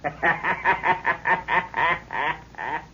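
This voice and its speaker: A man playing a mocking, gloating mad villain inventor in an old radio serial melodrama, speaking with slow, chuckling menace. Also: on the high side, sharp tone, breathy edge, heavily acted. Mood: mocking triumph. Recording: muffled old radio recording.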